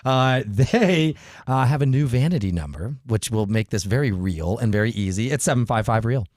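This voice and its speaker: A man's voice: mid-range voice, expressive, normal speed, a little mellow, dry recording, clean studio sound. A man hosting a casual business talk radio show, chatty and bantering, often repeating himself.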